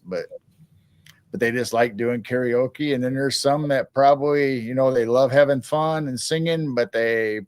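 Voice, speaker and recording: A man's voice: mid-range voice, no room sound. A man co-hosting a casual live-stream chat, rambling with frequent restarts. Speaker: co-host.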